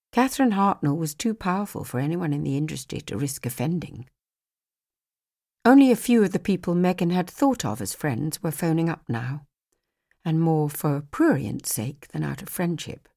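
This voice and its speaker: A woman's voice: deep voice, creaky, mellow. A woman reading a novel aloud as an audiobook narrator in a scripted storytelling style.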